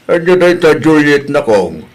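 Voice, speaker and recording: A man's voice: on the high side, big pitch swings, moderate pace. An actor playing an easygoing older village man with a drawling, hesitant manner in an Ilocano comedy radio drama. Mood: puzzled.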